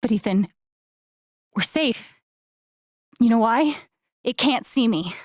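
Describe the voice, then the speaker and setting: A young woman as a caring, slightly unsure video game heroine. Her voice sits fairly high and is recorded close and dry. She speaks in a casual, natural, conversational way with some hesitancy, sounding earnest throughout. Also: expressive, takes it slowly, no echo. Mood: gentle, reassuring. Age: young adult.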